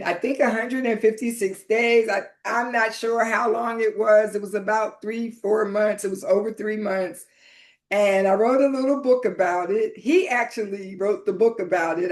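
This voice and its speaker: A middle-aged or older woman hosting a podcast, talking informally and haltingly as she introduces and interviews a guest. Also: medium pitch, a little mellow. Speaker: podcast host.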